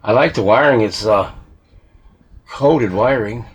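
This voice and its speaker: A man's voice: on the low side, a little slow, muffled recording. A man working as a hobbyist repairer, giving casual, rambling commentary and thinking aloud as he works. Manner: muttering to himself, thinking aloud. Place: indoor workshop. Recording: clear background noise, clicks of handling.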